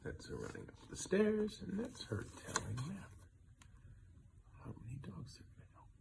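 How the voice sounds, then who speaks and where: A man reading a children's picture book aloud in an animated storytelling style, adding asides about the pictures. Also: sits high, normal speed, creaky, noisy background. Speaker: storybook reader.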